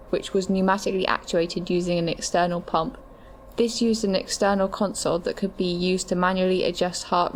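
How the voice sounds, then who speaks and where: A woman's voice: on the low side, slight background noise, natural voice. A woman playing a matter-of-fact medical adviser, reading scripted explanations in an audio drama.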